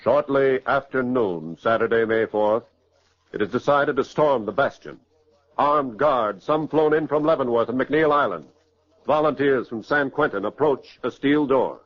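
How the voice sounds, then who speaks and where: A man's voice: mid-range voice, a little slow. A man giving grave, formal scripted narration for a 1940s radio crime drama, on a muffled old recording.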